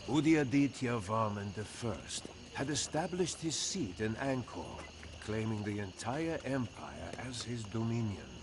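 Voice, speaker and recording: A grave military commander tells his tale as formal game narration. His delivery is slow and deliberate, with wide swings in pitch. Noticeable background noise sits behind the voice. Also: expressive. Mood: solemn, storytelling. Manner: grave, measured, formal storytelling. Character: honored old-world Khmer general, solemn, weathered commander. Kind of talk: dramatic scripted game narration.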